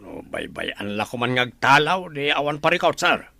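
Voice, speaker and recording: A man's voice: mid-range voice, very animated, moderate pace, vocal fry, pushed and bright, no echo, exaggerated voice. A man playing a plain-spoken henchman guard in an audio drama, uneasy and deferential. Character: deferential male henchman guard, uneasy but loyal to boss. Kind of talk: Ilocano audio drama acting.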